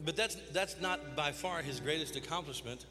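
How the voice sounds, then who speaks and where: A man's voice: a little high, normal speed. A man, a pastor introducing guests in church, punctuating with amen. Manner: warm-up host, calls out amen. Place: large church sanctuary. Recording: church hall reverb with music.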